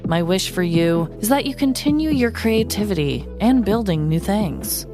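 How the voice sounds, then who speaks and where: A woman's voice: medium pitch, expressive, moderate pace, music in the background. A woman podcast host reading a scripted true-crime story in a playful, dramatic way.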